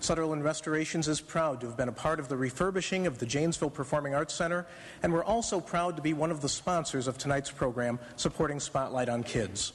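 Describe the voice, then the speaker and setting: Slightly high male announcer, auditorium reverb. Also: fast. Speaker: sponsor spot announcer. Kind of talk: scripted radio sponsor message.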